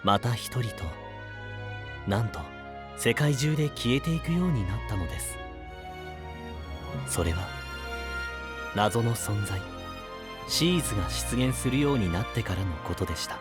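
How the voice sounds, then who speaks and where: A man giving scripted fairy-tale narration in a measured, solemn and polite manner. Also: mid-range voice, lively intonation. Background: music.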